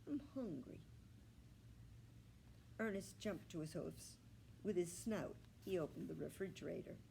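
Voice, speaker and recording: A woman's voice: lowish voice, moderate pace, gravelly, warm tone, slight background noise, rough recording. A woman reading a children's storybook aloud as a storyteller. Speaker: library staff storyteller.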